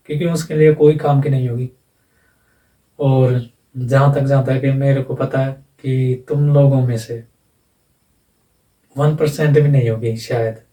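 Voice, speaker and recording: A man gives a casual, plain lesson as a voice-over, asking questions and then answering them himself. His voice is mellow and warm. He sits a little back from the mic. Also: medium pitch, distant mic, poor audio. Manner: plain, repetitive explaining with rhetorical questions. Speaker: English teacher. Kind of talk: casual explanatory lecture. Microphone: voice-over, face not shown.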